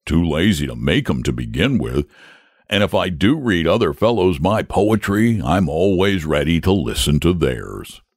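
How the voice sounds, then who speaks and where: A man's voice: low-pitched, animated, moderate pace, dry recording, studio quality. A man voicing an amiable, lazy, bashful dragon with poetic leanings, chatting in a genteel, rambling way as a storybook character. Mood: cheerful.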